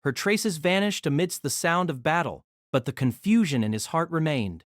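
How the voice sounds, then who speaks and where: Guy narrating an action story, lively swings in pitch, dry close sound. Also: moderate pace, no echo. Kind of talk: dramatic scripted story narration.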